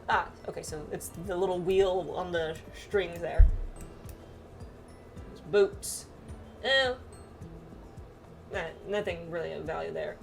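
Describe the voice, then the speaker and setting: A woman's voice: medium pitch, a little mellow. A woman chatting casually through a game playthrough and reading bits of game text aloud. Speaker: gamer playing through a story quest with friends.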